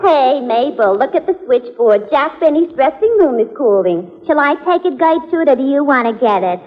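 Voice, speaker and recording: An actress playing a gossipy, sardonic switchboard operator in 1940s radio comedy, with a deadpan wisecracking manner. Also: high voice, normal speed. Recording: muffled old radio broadcast, loud audience.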